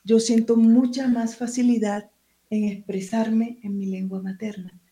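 A woman's voice: a little high, little pitch movement, a little slow, mellow. A woman, a writer and teacher, who speaks Spanish as her first language, answering interview questions in a hesitant, searching way and reading from her book.

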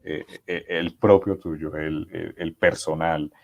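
A man with a very deep, low voice interviews a guest. He works through long questions in a halting way, restarting often and leaning on fillers. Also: level tone, creaky, a little mellow, highs cut off. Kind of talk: conversational interview.

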